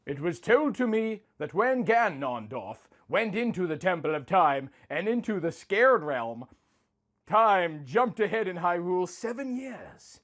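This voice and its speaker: A man narrating a scripted first-person story. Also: high-pitched. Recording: music under the voice. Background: music.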